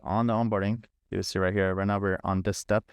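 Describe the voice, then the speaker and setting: A man's voice: deep voice, level tone, fairly quick, smooth, no echo, studio quality. A man leading a live online training, talking informally through setup steps, repeating himself and thinking aloud. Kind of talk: casual live screen-share walkthrough. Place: live online video call.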